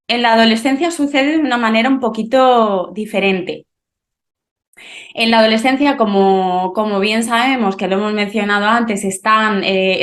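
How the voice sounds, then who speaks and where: A woman's voice: medium pitch, roomy sound. A woman from Madrid with a Madrid Spanish accent, an English teacher telling classroom stories as a podcast guest, often restarting her sentences. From Madrid, Spain. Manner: animated storyteller with frequent restarts. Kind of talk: casual podcast conversation.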